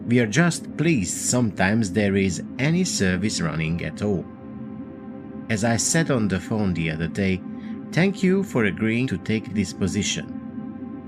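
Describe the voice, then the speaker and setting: A man plays a genial hotel manager in a radio play, effusive and formally polite. His voice is fairly low with broad, animated swings, over clear music. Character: courteous, genial male manager of a remote hotel. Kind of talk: audio drama acting.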